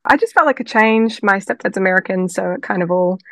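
A woman's voice: mid-range voice, lively intonation, a little fast, no echo, studio quality. A young woman from New Zealand with a New Zealand accent, chatting cheerfully as a guest musician and joking often. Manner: cheerful, laughs easily, joking. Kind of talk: casual interview chat.

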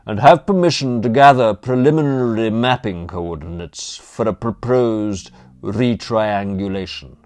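A man performs an unflappable valet in a storybook. He is formal, precise and deferential, with a measured delivery and lively intonation, recorded very dry. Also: fairly low pitch, dry recording. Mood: polite, projecting. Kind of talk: audiobook character dialogue.

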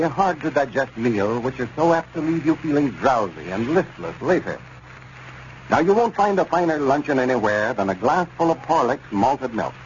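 A man with an American accent reading a scripted sponsor message as a 1930s radio announcer, in a polished, measured way. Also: mid-range voice. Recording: muffled, noisy 1930s radio recording.

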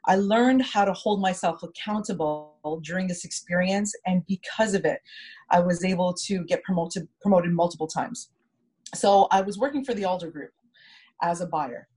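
Woman, podcast co-host, husky voice, candid reflective storytelling, repeats words for emphasis. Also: fairly low pitch, moderate pace, a little mellow. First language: English.

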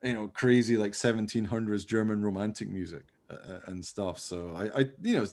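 A man with a fairly low voice talks casually as a podcast co-host. He rambles and pokes fun at himself, keeping things informal. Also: a little fast, a little mellow. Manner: rambling, self-deprecating, jokey. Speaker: podcast co-host, radio play writer. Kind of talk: casual behind-the-scenes chat.

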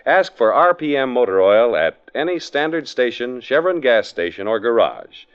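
A dull vintage broadcast carries a male radio announcer. He is polished and salesmanlike, with very lively intonation at a medium pitch, in 1940s style. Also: moderate pace, clear, resonant tone.

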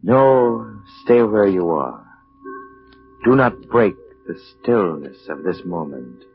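Deep, slow, ominous radio mystery host. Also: male voice, lively intonation, creaky, full character voice. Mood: ominous, commanding. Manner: slow, dramatic, grandiose delivery. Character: solemn, ominous male host of a mystery show. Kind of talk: 1940s radio acting, theatrical scripted narration. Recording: dull 1940s radio recording with music.

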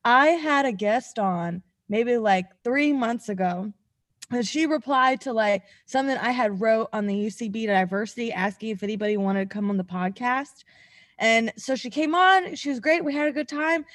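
High-voiced, slangy woman podcast co-host. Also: normal speed. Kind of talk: casual chat.

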